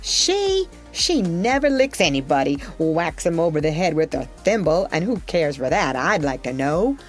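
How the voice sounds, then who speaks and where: A woman's voice: sits low, sing-song, moderate pace, faint music behind. A woman voicing an eager, mischievous young boy who coaxes and bargains. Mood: coaxing. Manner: coaxing, eager.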